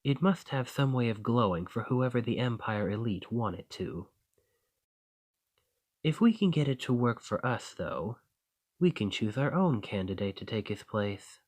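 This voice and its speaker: A man's voice: mid-range voice, animated, a little slow, darkish tone. A man playing a roguish bandit leader in an audio roleplay, confident and wry, with a folksy, teasing, drawling manner. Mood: scheming, persuasive. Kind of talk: scripted roleplay acting addressed to the listener.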